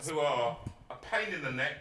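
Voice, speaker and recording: Singer guy hosting a live stream, chatty and rambly, sharp bright tone. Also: on the high side, animated, normal speed. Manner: chatty and rambling, many fillers and restarts. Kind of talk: casual live-stream chat. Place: indoor music room.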